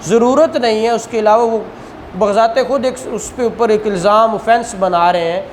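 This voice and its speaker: A man's voice: high-pitched, normal speed, slight background noise. A man with a Pakistani accent teaching law, reading statutes aloud phrase by phrase and explaining them conversationally with rhetorical questions.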